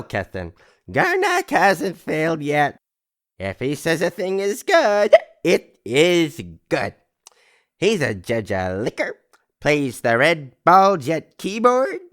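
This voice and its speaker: Slow, boozy, swooping socialite. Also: male voice, on the high side, a bit bright, heavily acted. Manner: rambling, tipsy, slangy. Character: boozy, chummy, boastful male party-loving socialite. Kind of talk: audio drama acting in old-movie manner.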